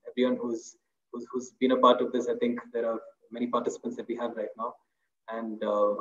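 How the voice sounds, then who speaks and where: A man in his thirties, an author speaking on a video call in a small room, thoughtful and loose, with many fillers and restarts. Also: medium pitch. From India. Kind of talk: webinar talk. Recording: roomy, dull video call audio.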